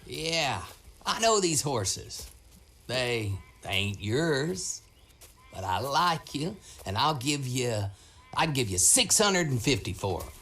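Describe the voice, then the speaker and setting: A chatty sly horse trader in a game, folksy, teasing, very lively pitch. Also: male voice, slow pace, full character voice. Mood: folksy haggling. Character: sly, chatty horse fence, lowballing rustler-friendly dealer. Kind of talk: video game cutscene acting.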